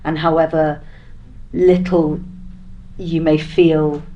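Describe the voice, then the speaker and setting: A woman's voice: deep voice, little pitch movement, slow, dark tone, muffled recording. A woman taking part in a casual panel chat as a podcast guest, speaking in a thoughtful, earnest way. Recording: clearly noisy background.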